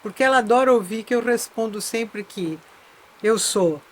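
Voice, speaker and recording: A woman's voice: fairly high pitch, normal speed. An older Brazilian woman, a veteran insurance broker, reflectively telling her life story as an interview guest, often adding tag questions. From Brazil, born in Minas Gerais, raised in São Paulo.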